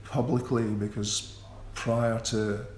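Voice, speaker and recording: A man's voice: medium pitch, flat delivery, darkish tone. A man who stammers, talking in a radio interview with repeated sounds and restarts. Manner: slow and considered. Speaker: interview guest, musician. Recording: echoey room with faint ambient noise.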